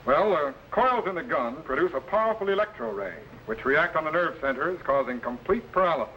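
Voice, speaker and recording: Male space-time explorer: calm authority, crisp measured orders, bright tone, faint hiss of an old serial. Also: fairly high pitch, rough recording. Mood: calm, instructive. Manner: instructive, precise, measured commands. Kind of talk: 1950s science fiction serial acting.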